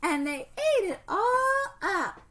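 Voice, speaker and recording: Baby polar bear girl for a picture book, voiced by a woman: squeaky, high, indignant. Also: sing-song, takes it slowly, a bit bright, a little hiss or hum, full character voice. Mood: upset, sputtering.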